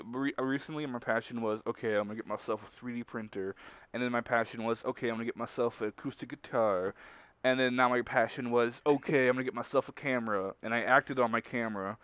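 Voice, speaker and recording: A man's voice: medium pitch, steady tone, quick pace, fairly bright tone, clear, resonant tone, dry recording. A man chatting casually and slangily on a livestream in a rambling way. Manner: slangy, rambling, chuckles often. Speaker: web video creator.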